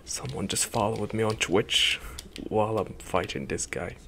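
A young man whispering ASMR commentary while playing a game, soft and hushed with sudden loud outbursts, into a desk mic in a small room. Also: lowish voice, measured pace, creaky, noisy background. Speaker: gaming streamer. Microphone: headset and desk mic, webcam in corner.

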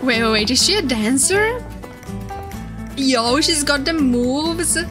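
A young woman streamer giving casual, excitable, gushing live reaction commentary. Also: high voice, slow. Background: game trailer music.

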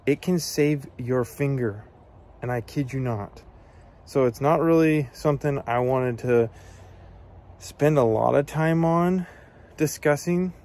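A man's voice: mid-range voice, a little mellow. An American man in his thirties, an outdoors video host, talks to the camera in a casual, earnest, plain-spoken way, giving practical advice. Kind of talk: casual instructional talk to camera. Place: outdoors among evergreen trees.

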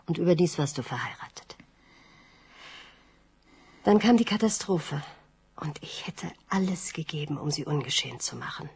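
A softly whispering heiress. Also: female voice, low-pitched, lively intonation, moderate pace, creaky, pauses often, breathy edge, exaggerated voice. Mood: tender. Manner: soft, often whispering. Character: young heiress woman confessing her love. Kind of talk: 1980s radio drama acting.

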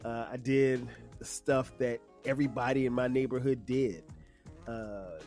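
A man in his fifties from South Carolina, hosting a solo podcast in a relaxed, conversational way with many fillers. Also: mid-range voice, unhurried, soft background music. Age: 50s. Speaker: podcast host. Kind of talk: casual solo podcast monologue.

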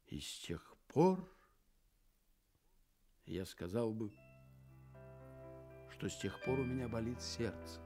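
A man's voice: sits low, darkish tone, husky, exaggerated voice. An actor playing a sentimental, courteous iron woodman in a radio play, speaking earnestly and dramatically. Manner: earnest, dramatic, drawn-out delivery. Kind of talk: theatrical Soviet radio play acting.

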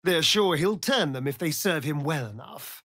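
A man's voice: a little high, lively intonation, moderate pace, a bit bright, dry recording. An actor playing a vain, sly male vampire rogue in a video game, with a British accent and an arch, melodramatic, catty delivery. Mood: animated. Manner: arch, drawling, melodramatic, dry wit. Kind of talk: dramatic video game acting.

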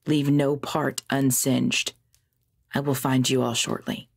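A woman playing a commanding, possessive vampire ruler and lover in an ASMR roleplay drama, speaking in a formal, imperious, measured way. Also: very deep voice, little pitch movement, creaky, full character voice. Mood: controlled, authoritative.

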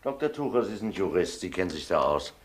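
A stern, methodical inspector in a crime radio drama. His voice is fairly low and very varied in intonation. He sounds measured, formal and insistent. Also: big pitch swings. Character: stern, methodical male police detective inspector.

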